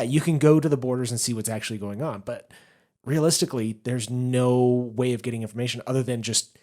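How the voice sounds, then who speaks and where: A man's voice: medium pitch, darkish tone. A man co-hosting a podcast, explaining his points at length in a casual chat and laughing easily. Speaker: podcast co-host.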